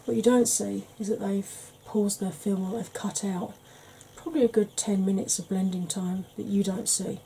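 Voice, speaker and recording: A soft-spoken woman in her forties with a Welsh-tinged northern English accent chats slowly through a makeup tutorial. Her voice is very dark and mellow, with a wry edge. A faint hum sits behind her in a small room at home. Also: medium pitch, fairly even intonation, creaky, low-quality recording. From Wales and Yorkshire. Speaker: makeup vlogger.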